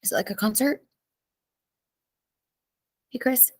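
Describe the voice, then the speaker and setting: A woman's voice: a little high, slow. A woman in her thirties teaching a live online class, chatty and greeting students by name, with her voice cracking as she loses it.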